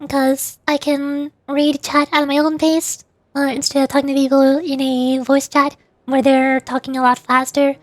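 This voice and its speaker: A woman streaming to her viewers, chatting casually and thinking aloud between pauses. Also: high-pitched, flat delivery, dry recording.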